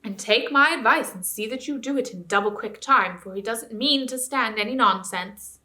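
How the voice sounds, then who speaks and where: A woman's voice: fairly high pitch, normal speed, sharp tone. A woman voicing a scheming, bullying old male ape who sneers and snarls at the other animals. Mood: boastful.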